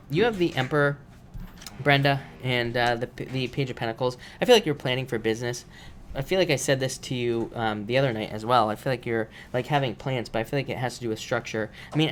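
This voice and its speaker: A man's mid-pitched voice, roughened by allergies, reading tarot for viewers on a live stream. He speaks loosely and repeats himself, leaning on fillers as he moves from card to card. A faint hiss sits under the recording. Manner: casual, repetitive, many fillers. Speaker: tarot reader.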